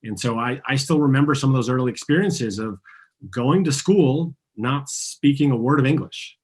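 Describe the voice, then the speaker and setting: A man's voice: mid-range voice, normal speed, breathy edge. A man born in Argentina and raised in the US, coaching a group through a guided tapping session, earnest and asking listeners to repeat his phrases. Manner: earnest, guiding, has listeners repeat after him.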